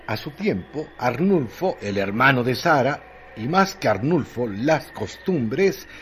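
A man's voice: medium pitch, sing-song, slow, slight background noise. A man with a Mexican accent performing as the solemn storyteller of a literary radio drama. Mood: solemn, measured. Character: solemn storyteller of a rural tale. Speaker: narrator. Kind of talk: scripted literary radio narration.

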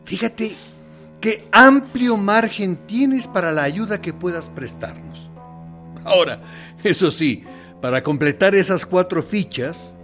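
An actor in a radio play voicing a military interrogator who plays good cop, deliberate, condescending and mock-friendly, with menace in his theatrical pauses. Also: fairly high pitch, fairly bright tone, full character voice. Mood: threatening. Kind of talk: dramatic radio play monologue.

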